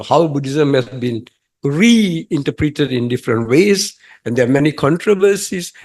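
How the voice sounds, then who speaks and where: A young adult man from Singapore, a monk, making an earnest, emphatic comment from the audience at a talk, halting with fillers. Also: medium pitch. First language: English. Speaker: monk asking a question from the audience.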